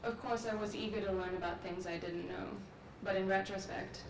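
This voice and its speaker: A young woman from Sri Lanka giving a measured, earnest graduate speech at an outdoor commencement through a podium microphone. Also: mid-range voice, fairly even intonation. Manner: measured, earnest, reflective delivery. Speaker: graduate student speaker. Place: large outdoor courtyard ceremony. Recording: echoey, distant, with noise.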